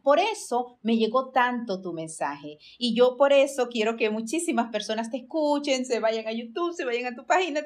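A woman hosting an interview, talking in an informal, effusive and conversational way. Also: fairly high pitch. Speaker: interview host.

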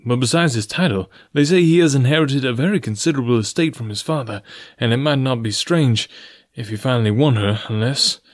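A man voicing an earnest, formal, pressing gentleman suitor of about forty in an audiobook story. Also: medium pitch, dry recording, studio quality, natural voice. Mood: probing. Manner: deliberate, formal, blunt.

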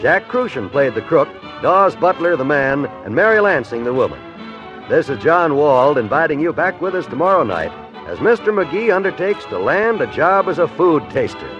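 A polished American male announcer in 1950s radio style. He sells with upbeat, animated intonation in a slightly high voice, on a dull vintage recording with faint music. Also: normal speed.

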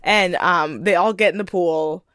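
A woman's voice: fairly low pitch, a little slow, fairly bright tone. A woman co-hosting a podcast, casually recapping a reality show and filling her talk with "like" and laughter. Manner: chatty, lots of "like" and laughs. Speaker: podcast co-host.

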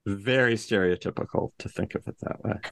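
A man's voice: lowish voice, fairly quick, vocal fry, a bit bright, dry recording. A man co-hosting a book podcast who speaks in a dry, teasing way. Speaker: podcast co-host. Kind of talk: casual book discussion.

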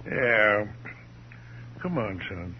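A man's voice: on the low side, breathy edge, exaggerated voice. An actor playing a genial old con man in a 1950s radio drama, folksy and rambling. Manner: folksy, rambling, chuckling, calls listeners son. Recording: muffled vintage radio recording, faint hum.

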